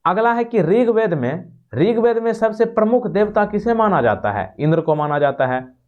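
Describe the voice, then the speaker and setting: A man's voice: high-pitched, animated, moderate pace, narrow, tinny audio. A man teaching in quiz format, explaining and repeating questions and answers like an exam-prep tutor. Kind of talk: quiz lesson, reading questions then giving answers.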